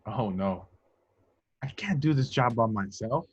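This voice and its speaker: Man playing brash, animated young agent, dull audio. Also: medium pitch, a little slow, slightly rough. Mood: pleading, protesting. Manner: cocky, streetwise, protesting. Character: brash, indignant young male secret agent trainee. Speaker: amateur actor in a table read. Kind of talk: casual at-home script table read. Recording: dull lo-fi home recording.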